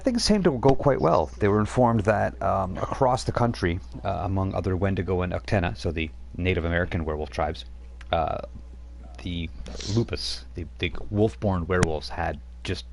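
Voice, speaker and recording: A man running a tabletop game, recapping the last session in a loose, relaxed way with many fillers. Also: sits low, creaky, slight background noise. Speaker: game master.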